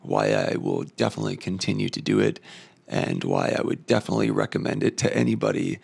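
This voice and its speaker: A man's voice: fairly low pitch, very animated, fast talker, darkish tone. A man losing his voice and tired, talking in a thoughtful, rambling personal monologue with many fillers. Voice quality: hoarse, gravelly. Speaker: vlogger.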